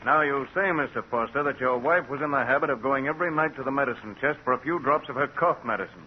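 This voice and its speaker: A fast-talking, businesslike police investigator , played by a man in an old radio drama. He questions formally and pointedly. The recording sounds dull and dated. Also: mid-range voice, lightly acted. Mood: businesslike, probing. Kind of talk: vintage radio drama acting.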